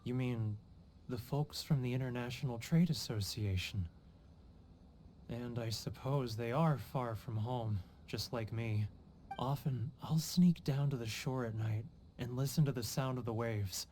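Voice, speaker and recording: A man's voice: medium pitch, unhurried, darkish tone, slight background noise, natural voice. An actor playing a homesick, guilt-ridden male merchant in a video game, theatrical and sighing, self-pitying in manner. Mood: anguished. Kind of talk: video game character acting.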